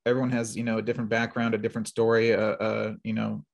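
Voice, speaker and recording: A man's voice: lowish voice, completely flat delivery, quick pace, creaky, muffled recording. A man moderating a panel discussion, asking questions in an eager, upbeat way.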